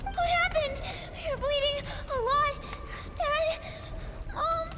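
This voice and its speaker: A woman's voice: very high-pitched, fairly even intonation, slow pace. A woman voicing a frightened little girl in a video game, over noise. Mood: frightened, worried. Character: frightened little girl calling for her parents.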